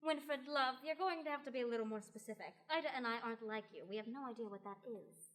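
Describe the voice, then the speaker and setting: A woman's voice: on the high side, quick pace, a bit bright, close to an everyday voice. A young woman playing an impatient, skeptical and determined female adventurer in a radio play, full of sarcastic retorts. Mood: puzzled. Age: young adult. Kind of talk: scripted radio play acting.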